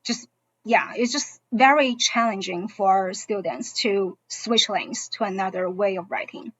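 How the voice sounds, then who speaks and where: A woman from China, a native Mandarin speaker with a Mandarin accent, explaining a school program to parents as a teacher in halting English. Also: mid-range voice, no echo. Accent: Mandarin Chinese. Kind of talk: informational presentation to parents. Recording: dull, muffled online-session audio.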